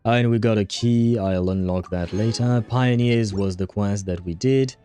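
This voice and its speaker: A man's voice: on the low side, normal speed, mellow, soft background music. A man giving casual livestream commentary as he plays a game, muttering to himself and swearing now and then. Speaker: livestream host.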